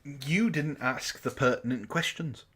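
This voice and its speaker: A man's voice: medium pitch, unhurried, a bit bright, husky. A man bantering in a comedic book club chat, theatrical and mock-pompous, easily worked up. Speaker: book club co-host and reader.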